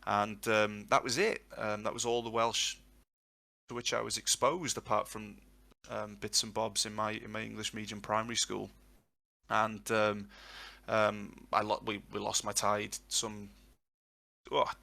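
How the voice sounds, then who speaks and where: A man's voice: lowish voice, flat delivery, a little slow, vocal fry, bright, forceful tone. A man in his thirties, a language tutor answering questions in a live session, long-winded and reflective. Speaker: Welsh tutor and guest. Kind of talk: live Q&A on a voice chat.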